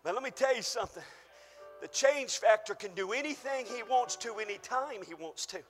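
A pastor preaching a fervent sermon, calling out and shouting to his church, and losing his voice. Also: extremely high pitch, lively intonation, normal speed, long reverb tail, soft background music. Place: church hall.

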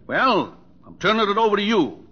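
A man's voice: sits high, wildly swooping pitch, normal speed, husky, heavily acted. An actor playing a proud, exasperated middle-aged rancher father in an old radio drama, brusque and lecturing. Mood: brusque, commanding. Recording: dull old radio recording.

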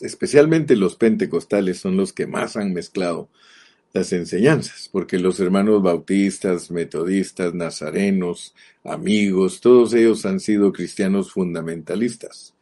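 Deep-voiced Guatemalan preacher, emphatic. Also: male voice, dark tone. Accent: Guatemalan Spanish. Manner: earnest, emphatic, repeats key words, slows down to stress them. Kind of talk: sermon.

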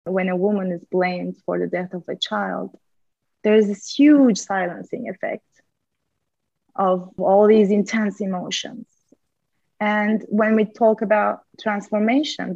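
A woman's voice: mid-range voice, gravelly, a little mellow, no room sound, dull audio. A woman, a linguist and podcast guest, speaking earnestly in a candid conversation and repeating words for emphasis. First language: Turkish.

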